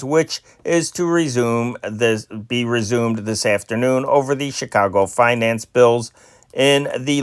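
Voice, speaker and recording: A man reading old newspaper stories aloud in a steady amateur style, with small slips and restarts. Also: medium pitch, no echo, studio quality. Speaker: newspaper reader.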